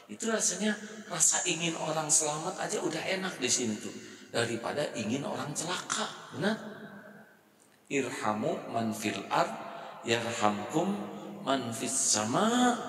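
A middle-aged man preaching a relaxed, chatty sermon, often turning to the crowd for a nod of agreement. He speaks into a handheld mic in a big mosque hall, and his voice comes through roomy and distant, with a long echo. Faint room noise sits under him. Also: medium pitch, unhurried, long pauses. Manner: conversational, often asks the audience to agree and swings between soft and loud. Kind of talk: casual sermon to a congregation. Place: large mosque hall, indoors. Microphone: handheld microphone. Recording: echoey hall from far off.

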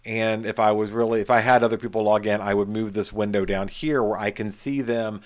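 Brief: middle-aged male instructor, slightly low voice, casual and emphatic, recorded at home on a webcam. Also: steady tone, a little fast. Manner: casual, chatty, emphatic repetition. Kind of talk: screen-share tutorial.

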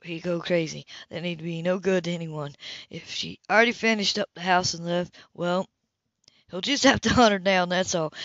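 A woman's voice: lowish voice, a bit bright, no echo. Woman reading a romance novel, dull recording. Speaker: amateur audiobook reader. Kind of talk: scripted narration of a romance novel.

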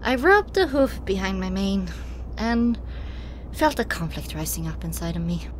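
A woman reading a first-person story as an audiobook narrator, with measured, dramatic delivery. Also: medium pitch, sing-song, slight background noise. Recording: music playing behind the voice. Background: music.

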